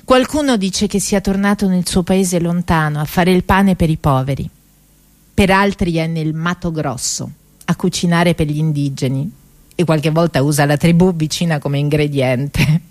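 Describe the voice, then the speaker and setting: Low-pitched woman, dramatic radio storyteller. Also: moderate pace, darkish tone, dry recording. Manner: dramatic, tragicomic. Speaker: actress, narrator. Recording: music behind the voice. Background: music.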